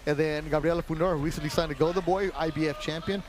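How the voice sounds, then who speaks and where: A man calling a boxing match play-by-play in a brisk, promotional broadcast manner. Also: on the high side, steady tone. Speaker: play-by-play commentator.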